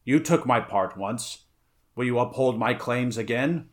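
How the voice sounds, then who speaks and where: A man's voice: medium pitch, a little slow, natural voice. A man voicing a grim, stern barbarian swordsman and pirate captain in an adventure story. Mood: challenging. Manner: stern, challenging.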